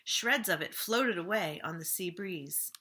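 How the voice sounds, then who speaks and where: A woman reading a storybook aloud for children, with expressive, dramatic phrasing that often drops softly at the ends of phrases. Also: on the low side, measured pace.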